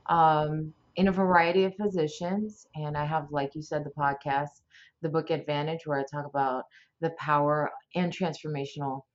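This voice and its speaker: A woman in her forties, a teacher from South Florida, chats as a podcast guest. Her voice sits quite low, and she rambles eagerly with many restarts. The audio is muffled and lo-fi. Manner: warm, rambling and enthusiastic, with many fillers and restarts. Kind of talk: casual interview chat.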